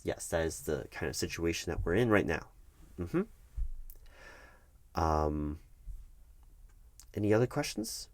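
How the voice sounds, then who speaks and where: A man playing a detached, patronizing corporate HR manager in a one-sided ASMR roleplay, speaking in a halting, measured, bureaucratic way. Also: fairly low pitch, dry recording. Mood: measured, condescending. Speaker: human resources manager.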